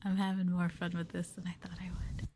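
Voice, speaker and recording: A woman talking in a soft, breathy voice that often drops to whispers, playful and teasing, chatting casually as an ASMR host close to the mic. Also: fairly low pitch, completely flat delivery, fairly quick, a little hiss or hum. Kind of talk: soft-spoken ASMR, casual chat with viewers. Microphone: sensitive mic up close.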